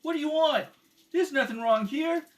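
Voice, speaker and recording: Belligerent little boy acted by a man, high, loud, defiant; radio drama, distant homemade recording. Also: normal speed. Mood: angry, defiant. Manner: belligerent, pushy, shouted protests. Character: angry, defiant young boy who hates cops. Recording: homemade, distant mic with background noise.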